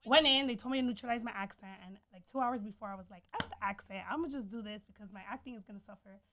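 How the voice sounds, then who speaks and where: A female actress guest telling an audition story, soft-spoken and shifting away from the microphone. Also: a little high, rapid-fire, background noise. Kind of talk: casual interview chat.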